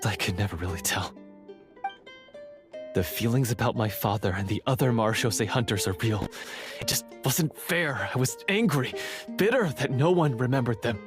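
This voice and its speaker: An actor playing a troubled, earnest male heir of a monster-hunter family in a video game, with a dramatic, halting delivery. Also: on the low side, lightly acted.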